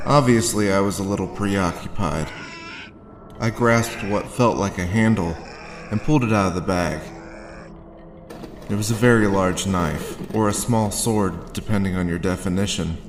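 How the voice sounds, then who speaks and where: A man's voice: low voice, roomy sound, noisy background, natural voice. An actor playing a sardonic, world-weary man, wry and sarcastic, narrating a noir audio drama in the first person.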